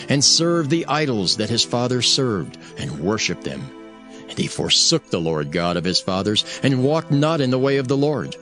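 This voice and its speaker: A man's voice: mid-range voice, a bit bright. A man narrating dramatized scripture as a solemn storyteller. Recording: voice over prominent music. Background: music.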